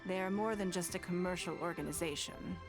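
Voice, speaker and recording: An actress playing a shrewd, composed noblewoman and political leader in a video game, speaking formally and deliberately. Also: fairly low pitch, lightly acted. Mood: measured, confiding. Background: background music.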